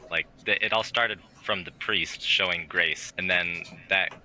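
A man's voice: low-pitched, steady tone, measured pace, sharp tone, faint music behind, low-quality recording. A man in his twenties co-hosting a podcast, chatting casually with a playful, joking manner. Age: 20s. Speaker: podcast co-host. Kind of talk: casual chat.

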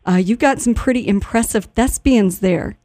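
A woman's voice: on the high side, normal speed, dark tone, no echo. Woman radio host, close dry sound. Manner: effusive, complimentary. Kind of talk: conversational radio interview.

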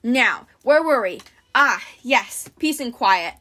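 A woman's voice: on the high side, lively intonation, slow, sharp tone, full character voice. A woman voicing a busy middle-aged male god, sly and easygoing, with a casual, wry manner in a storybook reading. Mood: breezy, curious.

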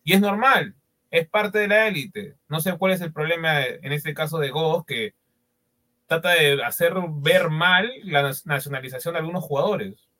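A man's voice: a little high, moderate pace, bright, forceful tone. A man, co-hosting football talk and often hunting for words. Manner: searching for words, many fillers. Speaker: football show co-host.

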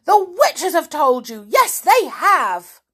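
A woman puts on a tiny storybook imp man, spiteful and gleeful. The voice leaps up very high and swoops about, shrill and boastful, in a fairy tale for children. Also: big pitch swings, a little slow, vocal fry, exaggerated voice. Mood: enraged. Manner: shrill shouting, sing-song boasting.

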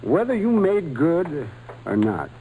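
Kindly mentor with animated intonation. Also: male voice, on the high side, slow pace, exaggerated voice. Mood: earnest, approving. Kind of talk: 1940s American radio drama acting. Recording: faintly noisy old radio recording.

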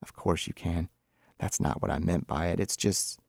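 A man's voice: low-pitched, moderate pace, gravelly, a little mellow, no room sound, studio quality. A man voicing an envious, weary, outspoken wife who complains in blunt colloquial talk, in a story reading. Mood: wistful.

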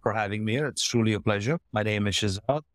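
A man's voice: fairly low pitch, fast, no echo, studio quality. A man from Kuwait, a guest on an interview podcast, talking in a friendly, upbeat way about his life. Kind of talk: casual conversation.

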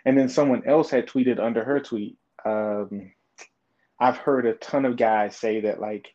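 A man's voice: mid-range voice, steady tone, unhurried, dark tone. Man in his twenties, podcast guest, thoughtful hesitant talk, lo-fi dull audio. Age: 20s. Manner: thoughtful, hesitant with many fillers. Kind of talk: casual interview chat.

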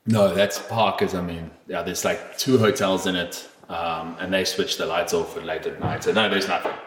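A man's voice: sits low, steady tone, moderate pace, echoey room, noisy background. A man telling a travel story in casual podcast chat, rambling with fillers and restarts and swearing casually.

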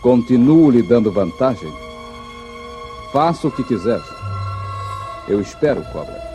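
Um ator brasileiro interpretando um herói de faroeste destemido, com fala firme, formal e comedida de radionovela dos anos 1950. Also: male voice, medium pitch, lively intonation, dull audio, music in the background, lightly acted. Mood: calm challenge. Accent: Brazilian. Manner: firm, formal, measured. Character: steadfast, fearless cowboy hero lawman. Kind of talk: 1950s radio western acting.

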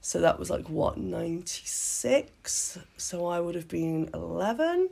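A woman in her late thirties from Britain, hoarse with a cold, chatting casually as a podcast co-host and often restarting her sentences. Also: on the low side, big pitch swings, a little slow, darkish tone. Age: late 30s. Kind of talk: casual chat. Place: sitting on the floor in a home play fort.

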